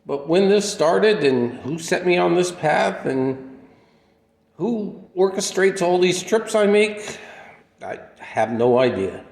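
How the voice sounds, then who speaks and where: A man playing an aging, modest, wistful drifter in a radio play, speaking in a halting, plainspoken, reflective way. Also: sits high, expressive, vocal fry, a little mellow, exaggerated voice. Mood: wistful, puzzled. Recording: echoey hall-like reverb.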